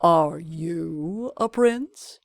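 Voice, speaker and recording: A woman's voice: fairly low pitch, expressive, gravelly, dry recording, studio quality, heavily acted. A woman voicing a haughty, protective Sultan and father in a storybook, with stately delivery. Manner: stately, drawn-out.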